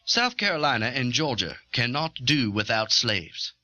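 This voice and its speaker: A man's voice: medium pitch, sing-song, normal speed, pushed and bright, no echo, dull audio. A man playing an assertive eighteenth-century planter delegate, speaking formally and insistently in a historical dramatization. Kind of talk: dramatized historical debate speech.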